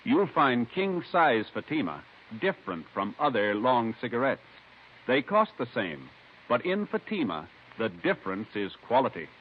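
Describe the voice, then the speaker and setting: An American man announcing a 1950s radio program, reading a script in a measured, portentous, dramatic way. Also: mid-range voice, big pitch swings, slight background noise. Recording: dull old radio recording, loud music.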